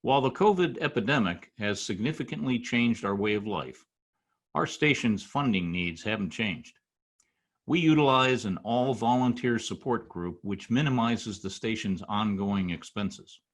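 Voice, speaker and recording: A man reading a scripted station introduction in a formal, measured announcer's manner. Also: lowish voice, lively intonation. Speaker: community radio content director introducing a show. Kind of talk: scripted introduction and fundraising appeal.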